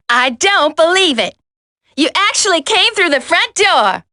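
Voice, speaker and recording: Mean gloating game villainess, super high voice, really slow taunting. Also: female voice, bright, forceful tone, no room sound, studio quality, exaggerated voice. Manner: taunting, drawn-out. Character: gloating, sadistic villainess, mocking and menacing. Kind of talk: theatrical video game villain acting.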